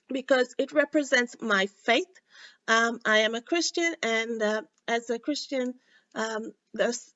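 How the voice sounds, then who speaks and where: A middle-aged woman from Sri Lanka demonstrating cardmaking in an unhurried tutorial, with frequent ums and uhs. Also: a little high, a bit bright, no room sound, muffled recording. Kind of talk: casual craft tutorial.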